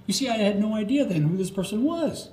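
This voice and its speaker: Higher-pitched older American man, roomy live storytelling. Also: expressive, a little mellow. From Florida, United States. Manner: nostalgic, theatrical storytelling with dramatic pauses. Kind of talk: live autobiographical monologue for radio theatre. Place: live radio theatre performance. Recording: roomy live recording with faint noise.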